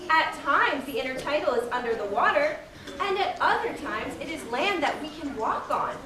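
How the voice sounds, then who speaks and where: An eager, bookish young explorer from a puppet show, played by a woman, high-pitched and very animated. The voice is distant in an echoey hall, with a crowd around. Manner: wide-eyed, animated questioning. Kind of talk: live children's puppet show acting. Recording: echoey hall, distant mic, audience noise.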